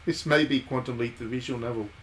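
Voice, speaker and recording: A man's voice: medium pitch, moderate pace, slight background noise. A man hosting a game stream, giving casual live commentary and thinking aloud in conversational asides.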